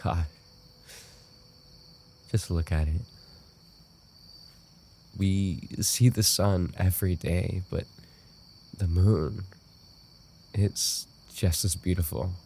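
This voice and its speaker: Obsessive yandere roommate, male actor, low and slow, intimate and unstable, for boyfriend ASMR roleplay with faint night insects. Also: steady tone, warm tone, a little hiss or hum, full character voice. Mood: wistful, tender. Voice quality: low, gravelly. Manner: intimate, halting, brooding delivery.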